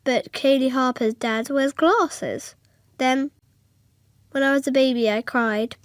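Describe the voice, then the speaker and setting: A woman's voice: sits high, steady tone, slow pace, dry recording, studio quality. A female performer playing an innocent, watchful little girl who narrates a radio drama in an artless, matter-of-fact way. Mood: earnest. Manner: simple, artless, matter-of-fact childlike telling.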